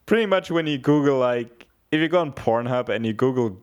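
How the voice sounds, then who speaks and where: A man's voice: medium pitch, expressive, a little fast, darkish tone, clean tone. Young man, casual rambling talker, swears freely, lots of restarts. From Germany (southeast Bavaria). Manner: rambling, swears a lot, many fillers and restarts. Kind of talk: casual chat.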